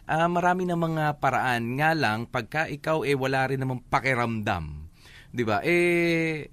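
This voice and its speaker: A man's voice: medium pitch, expressive, a bit bright, clean tone. A man with a Filipino accent co-hosting an advice show, talking conversationally, stretching words as he searches for them and mixing in English. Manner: hesitant phrasing, drawn-out words, code-switches into English. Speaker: radio advice show co-host.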